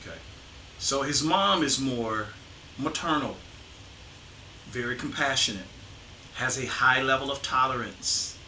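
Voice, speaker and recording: A middle-aged man speaks in a firm, emphatic way, leaning into his points. His voice is very bright and forward. The room is echoey, with a faint hiss behind him. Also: mid-range voice, unhurried, long pauses. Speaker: host, father interviewing his son. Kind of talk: casual conversation.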